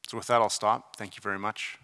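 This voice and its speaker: A middle-aged man delivers a conference lecture through a podium mic in a reverberant hall. His voice is fairly low and forceful, with creak in it. He explains in a conversational, emphatic way, dropping in informal asides. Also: level tone, quick pace. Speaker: surgeon giving conference debate presentation. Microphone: podium microphone.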